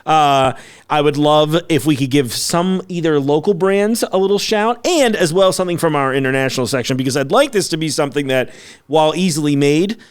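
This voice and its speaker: A man's voice: fairly high pitch, fairly quick, clear, resonant tone. A man hosting a food podcast in casual, upbeat chat, laughing often. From United States. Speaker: podcast host.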